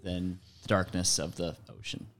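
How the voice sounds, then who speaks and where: Lower-pitched man in casual chat. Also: flat delivery, normal speed, slight background noise.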